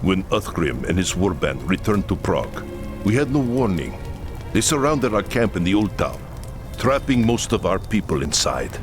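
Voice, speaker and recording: An actor playing a proud, solemn leader of a war-torn camp in a fantasy game cutscene, speaking earnestly with music behind. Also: male voice, low-pitched, very animated, normal speed. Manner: earnest and solemn, pleading at times. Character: grave, proud Kislevite camp leader, middle-aged man. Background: orchestral game music.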